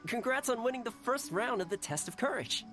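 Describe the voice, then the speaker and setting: A man's voice: very high-pitched, a little fast. A man playing an easygoing, friendly young retainer in a video game cutscene, polite and upbeat. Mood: cheerful. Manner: friendly, relaxed, teasing. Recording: game music behind the voice.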